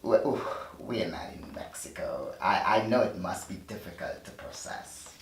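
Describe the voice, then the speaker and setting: An actor playing a hesitant, evasive man who gently explains things in halting phrases, at a TV script table read. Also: deep voice, animated, gravelly, heavily acted. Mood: soothing. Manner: halting, hedging delivery. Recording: roomy, noisy recording from far off.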